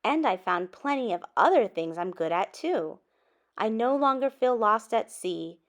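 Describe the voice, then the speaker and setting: A woman reading a children's story aloud expressively, with dramatic emphasis and drawn-out words. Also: a little high, expressive. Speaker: storybook reader.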